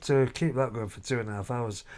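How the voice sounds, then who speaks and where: A man's voice: medium pitch, a little fast, a little mellow. A man chatting casually as a family vlog host, often tagging questions onto his remarks. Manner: chatty, seeking agreement with tag questions. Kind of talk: casual chat reviewing a family outing. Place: hotel room.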